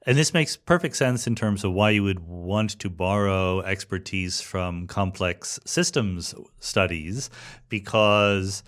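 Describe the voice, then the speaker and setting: Male podcast host, fairly low register, conversational and explanatory interview delivery. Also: slow pace, fairly bright tone.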